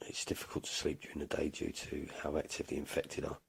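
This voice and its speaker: A man playing a weary survivor in an audio drama, speaking in a subdued, halting, gravelly way. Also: rumbling low, monotone, exaggerated voice. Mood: ill, weary. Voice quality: gravelly, husky. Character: weary male English survivor Isaac keeping an apocalypse journal. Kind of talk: audio drama first-person journal narration.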